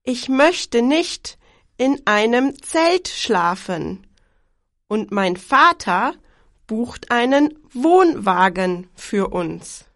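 A woman from Germany reads scripted exam sentences at a deliberately slow pace. Her pitch sits a little high and moves a lot. The recording is close and dry. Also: very animated, a bit bright, dry recording. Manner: slow, clearly articulated reading.

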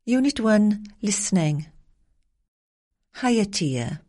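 A woman reads the instructions for a language exam recording in a slow, formal manner. Her intonation is lively, and every word is clearly enunciated. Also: mid-range voice. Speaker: exam narrator.

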